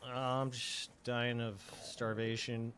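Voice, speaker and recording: Brief: young male streamer, voice wearing hoarse, laid-back with sudden excited outbursts, faint hiss behind him. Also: medium pitch, slow pace. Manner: swears freely, laid-back, bursts into excitement. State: voice going hoarse after hours of streaming. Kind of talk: casual gaming livestream chat.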